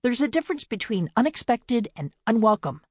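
A woman's voice: medium pitch, very animated, a little fast, no room sound, studio quality, voice pushed up. A woman voicing a hot-tempered young woman who argues defiantly.

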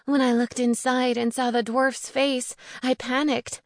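Woman voicing a frightened young witch, higher pitched. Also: little pitch movement, a little slow, no echo, clean studio sound. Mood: shaken. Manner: earnest, anxious.

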